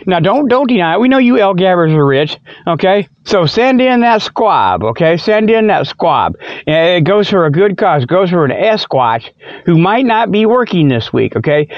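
A man's voice: sits high, no room sound. A man hosting a comic radio show in a folksy, joking monologue that keeps wandering off track. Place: inside a truck. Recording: dry, dull lo-fi audio.

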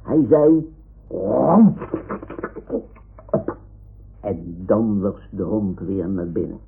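An actor playing a boastful, childlike toy sea lion who tells things in a halting, self-important way in an old radio play. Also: male voice, on the low side, sing-song, creaky, slightly rough, heavily acted. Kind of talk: 1960s-70s Dutch radio play acting. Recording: dull, muffled old radio recording.

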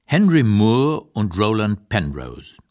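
An old writer recalling his wartime years for a radio play. His voice is fairly low and moves widely in pitch, with deliberate, emphatic phrasing. He is reflective and unsparing as he reads his memoir. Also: very animated, darkish tone. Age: older. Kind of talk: literary radio reading, scripted memoir narration.

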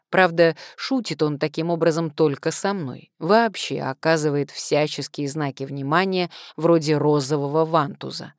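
A woman's voice: deep voice, no echo, clean studio sound. A woman reading first-person fiction expressively as an audiobook narrator.

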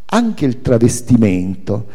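A man giving an expressive, theatrical reading of a literary memoir, telling it deliberately like a storyteller. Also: medium pitch. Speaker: reader at a spoken-word event with music. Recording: long hall reverb, music underneath. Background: music.

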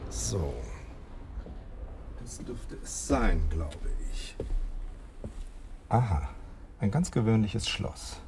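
An actor playing an arrogant, sharp-witted male detective in a German radio play, muttering precisely to himself. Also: fairly low pitch, lively intonation, drawn-out words, pauses often, noisy background, heavily acted. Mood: smug, searching.

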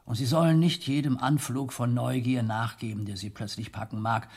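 An actor playing a stern, pious old medieval abbot in a German radio drama, speaking in a formal, rhetorical and measured way. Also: male voice, mid-range voice, steady tone, darkish tone, breathy edge. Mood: solemn, guarded.

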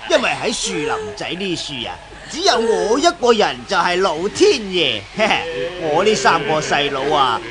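A menacing ringleader of a gang of thugs in 1970s Cantonese radio drama. His delivery swings widely in pitch as he gloats and taunts, with voices audible behind him. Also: big pitch swings, a little slow, crowd noise. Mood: gloating, menacing. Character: menacing male gang leader, gloating, lecherous eldest sworn brother.

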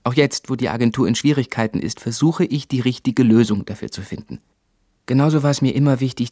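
Man playing a husky, self-justifying husband. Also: medium pitch, a little mellow, no room sound, studio quality, close to an everyday voice. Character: pressured adman husband, placating and self-justifying. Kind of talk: radio drama acting, dialogue and monologue.

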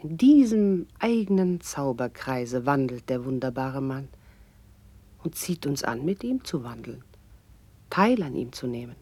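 Low-voiced, eloquent noblewoman. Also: sing-song, measured pace, warm tone, breathy edge, no room sound, full character voice. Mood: lyrical, admiring. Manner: lyrical, eloquent, formal declamation. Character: graceful, worldly noblewoman, witty and warmly eloquent confidante. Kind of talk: classical German verse radio drama acting.

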